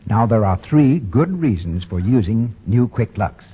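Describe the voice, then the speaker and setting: A man reading a 1940s radio commercial with a bright, persuasive sales delivery. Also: fairly low pitch, lively intonation, measured pace, husky. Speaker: commercial announcer. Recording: dull 1940 radio broadcast.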